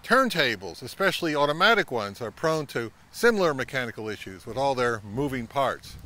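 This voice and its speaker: A middle-aged man giving a measured, instructional talk to camera as a vlog host, recorded outdoors. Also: mid-range voice, pushed and bright. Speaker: vintage audio channel host. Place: outdoors by a pond and on a wooded trail.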